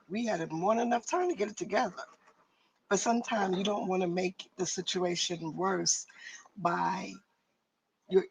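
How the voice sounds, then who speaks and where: A middle-aged woman on a church discussion panel, speaking in a measured, reflective way. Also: lowish voice, gravelly. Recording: distant mic, faint background noise.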